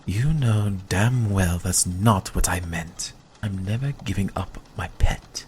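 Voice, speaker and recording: A man's voice: sits low, slow, a little mellow, a little hiss or hum. A man playing a possessive, dry-witted vampire in an ASMR roleplay, with a sardonic, commanding manner. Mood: possessive, defiant. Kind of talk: ASMR roleplay audio drama.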